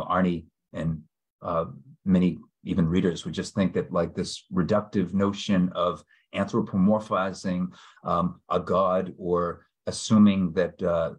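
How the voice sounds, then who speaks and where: A middle-aged man from New England speaks over a video call from a small room, hosting a book discussion. His voice is low-pitched and husky, and he pauses often with fillers as he thinks aloud. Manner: hesitant, many fillers, thoughtful. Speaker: book club host.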